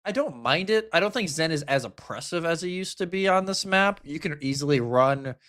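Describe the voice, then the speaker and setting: A man's voice: fairly high pitch, lively intonation, brisk, a bit bright. A man doing live esports commentary in a casual, colloquial way, sometimes voicing imagined reactions as he explains.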